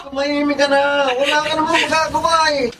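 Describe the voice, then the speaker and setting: A man's voice: very high voice, little pitch movement, fairly quick, raised voice, heavy background noise. A man acting a brazen, callous spoiled young son in a Filipino radio drama, cocky and dismissive.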